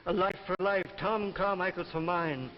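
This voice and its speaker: An actor playing an anguished, guilt-ridden man burdened by a terrible power, halting and impassioned in 1950s television drama style. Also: high-pitched, natural voice. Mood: guilty, grave. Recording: muffled 1950s TV soundtrack, faint music.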